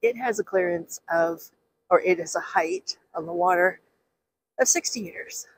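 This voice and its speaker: A woman's voice: lowish voice, steady tone, normal speed, husky, no room sound, poor audio. Chatty woman vlogging outdoors by phone. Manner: chatty, many ums and restarts. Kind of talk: casual walking vlog. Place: outdoors on a city pier. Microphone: phone at arm's length.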